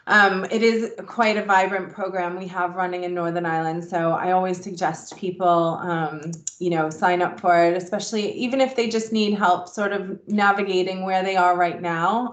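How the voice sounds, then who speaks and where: A woman hosting a webinar on a video call, thanking speakers and handing over with fillers. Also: fairly low pitch. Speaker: webinar host. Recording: roomy echo.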